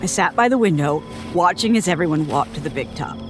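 An actress playing a shaken woman who haltingly recounts a traumatic childhood ordeal in an audio drama monologue. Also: sits low, expressive, soft background music. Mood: haunted, tense. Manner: halting, haunted storytelling. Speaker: interviewee.

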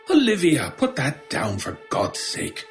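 A nervous, halting, slow-spoken shopkeeper. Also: male voice, a little high, huge pitch swings, soft background music, exaggerated voice. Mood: alarmed. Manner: anxious, halting, stammering. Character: nervous, stammering male shopkeeper, frightened but placating. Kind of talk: old time radio style horror audio drama.

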